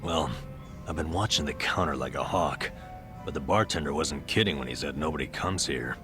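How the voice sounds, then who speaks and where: A man's voice: a bass voice, normal speed, music in the background. An actor playing a stressed, boastful middle-aged vagabond in a video game cutscene, telling his tales dramatically and colloquially. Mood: relieved.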